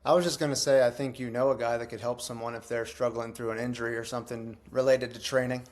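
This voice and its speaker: A man's voice: mid-range voice, little pitch movement, fast talker. A man hosting a podcast interview in a casual, conversational style. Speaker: podcast host, fitness trainer.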